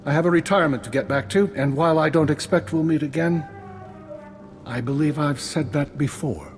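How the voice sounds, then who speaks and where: An actor playing a shrewd, formal, dryly polite retired spymaster in a science-fiction video game. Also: male voice, on the high side, fairly quick, soft background music, natural voice. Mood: measured, grave. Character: shrewd, unflappable male retired imperial spymaster. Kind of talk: scripted video game acting.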